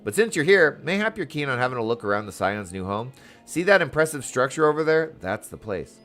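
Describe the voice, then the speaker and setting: A jovial, courteous male guide from a fantasy game, acted out by a man reading aloud. His phrasing is formal and old-fashioned, and his pitch swings freely over background music. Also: sing-song, fairly quick, a bit bright. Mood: jovial, boastful.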